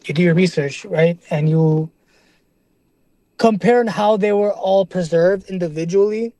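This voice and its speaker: A man's voice: high-pitched, measured pace, warm tone, no echo. A young man in a casual debate, explaining earnestly, often restarting his sentences and checking in with "right?" and "bro.". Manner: earnest, repeats and restarts, keeps asking "right?"; casual slang, calls listener "bro".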